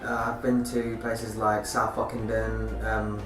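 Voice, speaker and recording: A nineteen-year-old man, a youth work apprentice, answering interview questions hesitantly with many pauses. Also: fairly low pitch, completely flat delivery. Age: young adult. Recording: roomy, mic far off, music behind.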